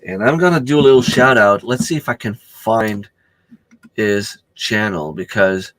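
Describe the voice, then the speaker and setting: A middle-aged man with a hoarse voice from a cold, chatting casually on a home live stream and greeting viewers by name. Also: fairly low pitch, unhurried, a bit bright, slight background noise, poor audio. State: losing his voice from a cold. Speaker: channel host.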